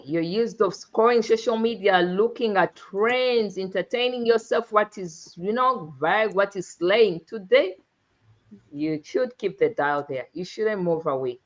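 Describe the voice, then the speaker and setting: A woman from Uganda hosting a live online talk show, with an exuberant, drawn-out emphatic delivery. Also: mid-range voice. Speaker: host. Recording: dull lo-fi online stream audio.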